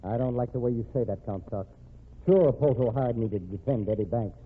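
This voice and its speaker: Man as fast-talking slick lawyer, old radio. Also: medium pitch, breathy edge, narrow, tinny audio, natural voice. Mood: pleading, testy. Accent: American. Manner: argumentative, evasive, cynical. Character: slick, defensive male gangster's defense lawyer. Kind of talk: old-time American radio drama acting. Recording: thin, band-limited, noisy old radio recording.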